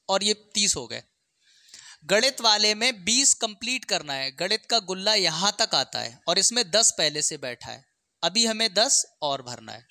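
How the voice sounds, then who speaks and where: A maths teacher takes learners through exam problems one step at a time. The voice is bright and sharp, recorded dry with little room sound. Short questions punctuate the explanation to check that listeners follow. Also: medium pitch, no echo.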